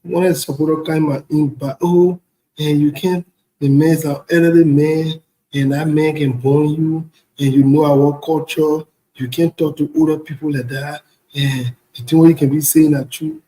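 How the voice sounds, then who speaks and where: A man's voice: a little high, steady tone, normal speed, mellow. Indignant West African man in an echoey room. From Ivory Coast. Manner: indignant, blunt, repeats phrases for emphasis. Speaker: online political commentator. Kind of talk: unscripted political rant.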